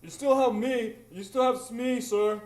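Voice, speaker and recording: Timid, bumbling pirate henchman, played by a man, loyal and slow-witted, stage radio drama with room echo. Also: very high-pitched, fairly even intonation, a little mellow, a little hiss or hum. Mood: devoted. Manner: hesitant, bumbling. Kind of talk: live radio drama acting. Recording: echoey stage, off microphone.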